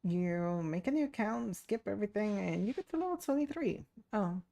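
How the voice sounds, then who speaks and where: Woman, game streamer, casual chat, informal and giggly, creaky voice, medium pitch. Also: expressive, normal speed, warm tone. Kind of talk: casual livestream chat while gaming.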